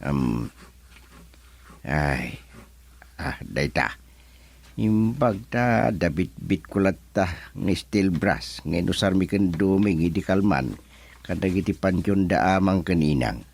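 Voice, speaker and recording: A man's voice: low-pitched, lively intonation, slow, creaky, pauses often, a little mellow, heavily acted. A man acting an elderly, folksy, easily flustered village chairman in an Ilocano radio comedy drama, muttering and humming with an Ilocano Filipino accent. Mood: musing. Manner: muttering to himself with hums and exclamations.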